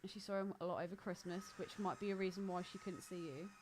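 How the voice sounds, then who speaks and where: A woman's voice: lowish voice, steady tone, fast, a little mellow, slight background noise. A woman co-hosting a podcast in a casual chat, gossipy, blunt and emphatic, swearing freely and repeating herself for stress. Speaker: podcast co-host.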